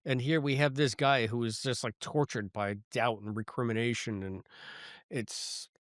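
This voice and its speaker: A man's voice: medium pitch, fairly even intonation, moderate pace, a bit bright, no echo. Man chatting, dry close sound. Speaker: podcast host. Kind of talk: casual review chat.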